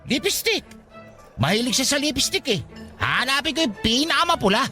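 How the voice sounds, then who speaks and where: A man plays a lovesick young fast-food worker with a high, forceful voice. He is jealous, whiny and pleading, radio-drama style, and his pitch leaps about animatedly. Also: big pitch swings, soft background music, heavily acted. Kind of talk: Tagalog radio drama acting.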